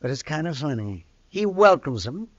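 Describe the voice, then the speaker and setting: A man's voice: on the high side, sing-song, moderate pace, dry recording. A folksy, needling small-town frontier doctor in a radio western. His raised voice bounces around expressively, with the dull, dry sound of an old broadcast. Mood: teasing, chatty. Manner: folksy, teasing, crotchety. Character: folksy, teasing frontier town doctor, cranky but genial. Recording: dull vintage radio recording.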